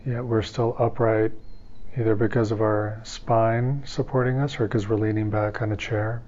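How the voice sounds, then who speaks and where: A man with a lowish voice guides a meditation in a very even, flat melody. He gives gentle, unhurried instructions and leaves long pauses between phrases. The recording sounds a little dull and muffled. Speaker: meditation teacher.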